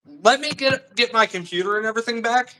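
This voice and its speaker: A man's voice: very high-pitched, fairly quick, pushed and bright, rough recording. A young man who makes music, talking casually and earnestly as a guest on a livestream.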